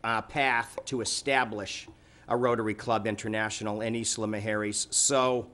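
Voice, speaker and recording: A man chairing a city council meeting, giving informal remarks and updates in a halting, conversational way. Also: lowish voice, steady tone, a bit bright.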